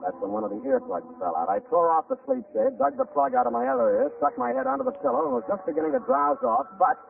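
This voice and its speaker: An actor playing a hard-boiled private detective, narrating with wry, deadpan American delivery in a 1940s radio drama. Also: male voice, very high voice, quick pace, creaky, far from the mic, heavily acted. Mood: weary, wry. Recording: muffled, noisy 1940s radio recording.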